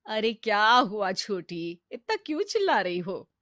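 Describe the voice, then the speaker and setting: A woman acts a kind, middle-aged mother-in-law in a storybook family drama. Her mid-pitched voice is gentle and reassuring as she reasons and instructs, recorded dry. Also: big pitch swings, a little slow, dry recording. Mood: calm, reasoning.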